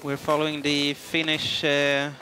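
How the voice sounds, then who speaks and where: A man's voice: a little high, completely flat delivery, unhurried, a crowd in the background, rough recording. A man commentating live on a ski race in English, hesitant and full of fillers. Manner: hesitant, many fillers, non-native English.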